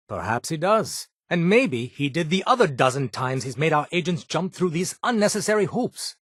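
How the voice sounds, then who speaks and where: A man's voice: medium pitch, husky, no echo. A man playing a brusque, haughty underground doctor in a video game, with a British accent and a dry, sardonic, formal manner. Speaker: medic in a secret resistance group. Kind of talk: video game character voice acting.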